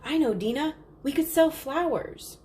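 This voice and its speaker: A woman's voice: sits high, expressive, a little slow, darkish tone, pitched above the performer's own voice. A woman reading a storybook, voicing an eager, pleading young girl with an earnest, imploring manner. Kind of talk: storybook character dialogue. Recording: clearly noisy background.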